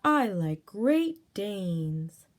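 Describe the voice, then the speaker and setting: Big enthusiastic storybook bird, played by a woman. Emphatic, very slow, lively melodic delivery. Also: medium pitch. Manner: emphatic, drawn-out words. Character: big bird who loves big things, enthusiastic.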